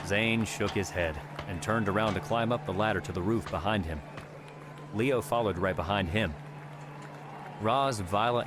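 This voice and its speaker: A man narrating an audiobook in a measured, steady reading. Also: deep voice, background noise. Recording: narration over background music. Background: music.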